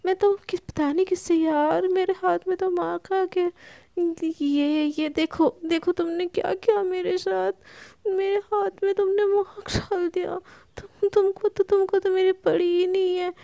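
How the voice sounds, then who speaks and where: A sulky, melodramatic young woman acted by a woman storyteller, very high and pleading. She whines and repeats her protests, with a creaky edge. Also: completely flat delivery, a little fast, few pauses, warm tone, dry recording. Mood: sulky fake crying.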